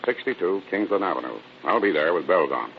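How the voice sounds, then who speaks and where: An actor playing a cool American federal agent posing as a buyer, brisk, confident and slangy, in an old radio drama. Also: male voice, deep voice, animated, gravelly. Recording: muffled old radio recording with hiss.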